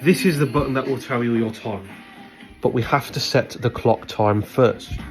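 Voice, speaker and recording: A man presenting a step-by-step product demonstration, instructing in a halting way as he thinks aloud. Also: medium pitch, soft background music.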